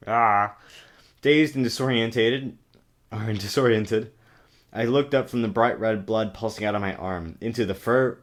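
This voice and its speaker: A man giving a parody dramatic reading of a novel with sarcastic, exaggerated asides, recorded on a webcam. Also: fairly low pitch. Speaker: comic reader of a novel. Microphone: webcam microphone. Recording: choppy cheap webcam recording.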